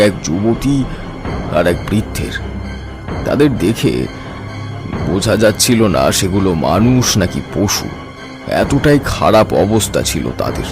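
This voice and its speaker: A man's voice: lowish voice, full character voice. A man playing a grave tantric mystic who recounts his horror tale in a solemn, suspenseful, dramatic way. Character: grave male tantric sadhak recounting his battle with a demon. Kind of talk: dramatic scripted horror narration. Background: eerie background music.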